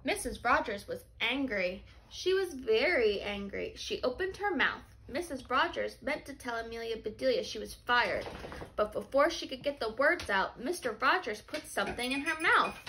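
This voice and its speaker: A woman's voice: on the high side, normal speed, slight background noise, poor audio. A woman teacher reading a storybook aloud expressively, in an instructive way suited to questioning pupils. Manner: expressive, instructive, asks and confirms answers.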